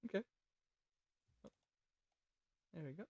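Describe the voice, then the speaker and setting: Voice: racing, a little mellow, no room sound, lo-fi sound. A host leading a casual group chat that ranks songs, joking and swearing freely.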